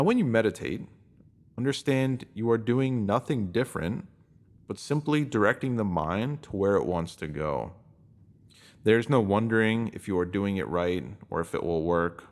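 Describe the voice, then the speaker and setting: A man reading a scripted self-help piece, addressing the listener directly. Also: lowish voice. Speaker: reader of written posts.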